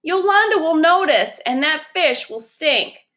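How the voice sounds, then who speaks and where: A woman voicing a bossy, prim young girl in a children's storybook, brisk and matter-of-fact as she corrects others. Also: sits high, animated, a bit bright, pitched above the performer's own voice.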